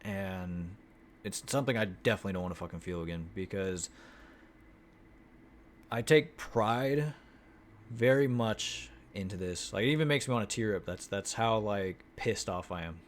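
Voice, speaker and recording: A man telling his vlog viewers about an acting class, talking in a flat monotone, swearing freely and restarting his sentences often. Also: lowish voice, moderate pace, a little hiss or hum. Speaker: acting student vlogger. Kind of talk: casual chat. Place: Houston, Texas.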